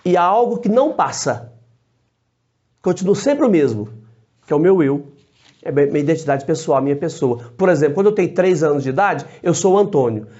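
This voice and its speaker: Brief: male priest, fairly high but mellow voice, patient teacher, dull lo-fi sound. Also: lively intonation. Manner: measured, explanatory, short phrases with frequent tag questions. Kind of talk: theology teaching to camera. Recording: dull lo-fi recording.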